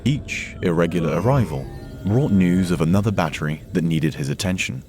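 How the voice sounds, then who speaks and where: A man narrating a dramatic science fiction story from a script in a measured, solemn way. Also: deep voice, lively intonation, darkish tone. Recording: music behind the narration.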